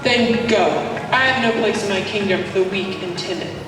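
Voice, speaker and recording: A woman's voice: medium pitch, moderate pace, close to an everyday voice. A young female performer playing a proud, threatening male demon king in a school stage pageant, defiant and declamatory. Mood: defiant, scornful. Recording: distant echoey gym, music behind.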